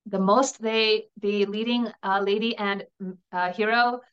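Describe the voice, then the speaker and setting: A woman's voice: mid-range voice, steady tone, measured pace, fairly bright tone, clear, resonant tone, highs cut off. A woman in her thirties from New Jersey, a guest in an interview, speaking earnestly and chattily about her upbringing. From New Jersey, USA. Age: 30s. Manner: earnest and chatty, with frequent restarts and small laughs. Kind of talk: candid personal interview.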